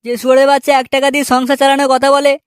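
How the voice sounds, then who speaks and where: Performer doing a scornful villager, comedy cartoon, high voice, brisk, abusive, taunting. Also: flat delivery, no room sound, studio quality, close to an everyday voice. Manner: taunting, crude. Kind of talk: Bengali comedy cartoon dubbing.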